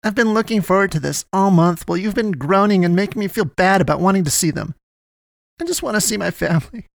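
A man's voice: a little high, fast talker, no room sound, studio quality, pitched above the performer's own voice, lightly acted. A man voicing an angry, distressed young woman in a story, with a snappy, whiny, emphatic delivery. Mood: tearful pleading.

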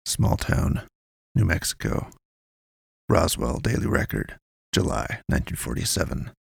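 A secretive male informant from a noir audio story voiced by a narrator. He talks low and gravelly, hushed and grave in old slang, close and dry. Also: very animated, a little slow, dry recording, heavily acted.

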